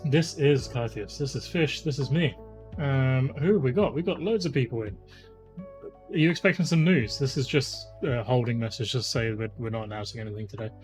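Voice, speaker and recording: A man in his thirties chatting casually as a stream host, rambling with lots of fillers, his voice slightly croaky. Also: medium pitch, moderate pace, long pauses. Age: 30s. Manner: rambling, chatty, many fillers, greets viewers by name. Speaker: livestream host. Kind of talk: casual live-stream chat. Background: faint lo-fi music.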